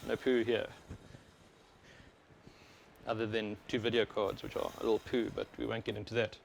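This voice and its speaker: A man's voice: lowish voice, moderate pace. A man with a South African accent giving casual, informal and explanatory live stream commentary on a wireless worn microphone. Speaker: livestream host, overclocker. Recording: clear steady background noise.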